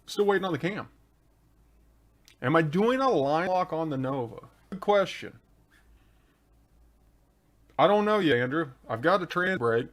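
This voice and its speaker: A man's voice: a little high, animated, unhurried, pauses often. A man hosting a live stream, chatting casually and answering viewers' questions one by one into a desk microphone. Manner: answers viewer questions on engine tuning, laid-back and chatty. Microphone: desk microphone, adjusted mid-show.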